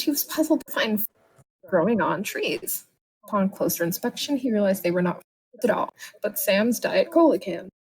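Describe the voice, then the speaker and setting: A woman's voice: mid-range voice, a little mellow, slightly rough, rough recording. A woman reading a written story aloud in a dramatic narrating style. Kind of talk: dramatic bedtime-story narration of a comic horror tale.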